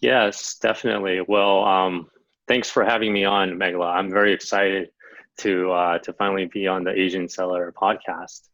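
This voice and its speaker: A low-voiced American man in his thirties talks casually as a podcast guest, leaning on "um" and "you know" as he goes. His voice comes over a dull, dry line. The tone stays relaxed and conversational. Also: dry recording. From United States (Los Angeles). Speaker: guest, Amazon seller and sourcing coach. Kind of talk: podcast interview, casual storytelling.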